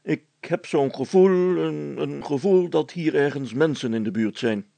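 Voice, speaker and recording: A man voicing a firm schoolmaster who speaks deliberately and repeats himself with ominous, knowing insistence in a story. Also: on the high side, vocal fry, mellow, dry recording, voice pushed up. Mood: ominous, insistent.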